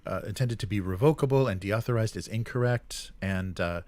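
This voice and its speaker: A man with a fairly low voice hosts a casual gaming news rundown. He speaks haltingly and hesitantly as he presents, and the sound is close and dry. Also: darkish tone, no echo. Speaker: co-host of a tabletop gaming news show.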